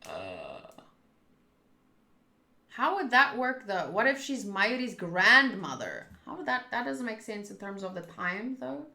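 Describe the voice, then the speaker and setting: A young woman streaming a visual novel, reacting casually and thinking aloud in quick, trailing asides. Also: mid-range voice, huge pitch swings, creaky, sharp tone, echoey room.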